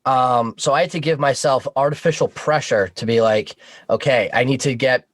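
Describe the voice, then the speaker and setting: A man chatting casually as a podcast guest, explaining things in a halting, discursive way with restarts and asides. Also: medium pitch, dry recording. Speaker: podcast guest, audio drama creator. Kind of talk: casual interview chat.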